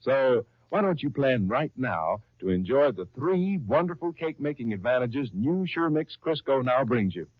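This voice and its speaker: A man's voice: medium pitch, sing-song, moderate pace, few pauses, dry recording. A man working as a radio announcer and narrator in 1930s style, reading from a script with theatrical emphasis. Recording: dull, lo-fi 1930s radio recording.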